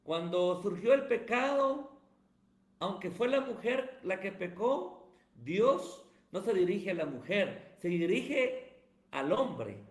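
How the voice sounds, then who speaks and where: Male pastor, high voice, preachy emphatic delivery, roomy echoey sound. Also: sing-song, slow pace. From Guatemala. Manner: emphatic, sermon-like cadence. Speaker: pastor and evangelist, guest. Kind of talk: preaching-style interview answers. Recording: roomy echo.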